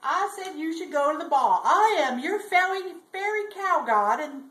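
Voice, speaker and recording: A high-voiced fairy cow godmother , acted out by a woman from a comic tale. She proclaims her magic bossily, drawing words out with sing-song emphasis. The room rings around her. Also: lively intonation, normal speed, a bit bright, echoey room. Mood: insistent, grand. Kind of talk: live comic storytelling character voice.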